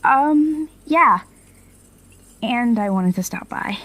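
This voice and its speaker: A woman's voice: fairly high pitch, expressive, takes it slowly, fairly bright tone. A young woman playing an apologetic, eager college student in an audio drama, speaking with a hesitant, friendly manner. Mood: awkward, apologetic. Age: young adult. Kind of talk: naturalistic audio drama acting.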